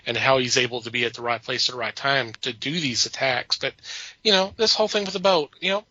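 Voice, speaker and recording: A man hosting a podcast in casual chat, speaking haltingly and often repeating himself. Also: mid-range voice, pushed and bright. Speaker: podcast host. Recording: dull lo-fi voice track.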